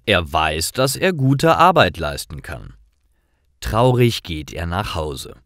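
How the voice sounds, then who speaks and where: A man narrates a scripted story for language learners at a very unhurried pace. His phrases are short and carefully separated, and his intonation moves widely up and down. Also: sits low, big pitch swings, fairly bright tone, dry recording, clean studio sound.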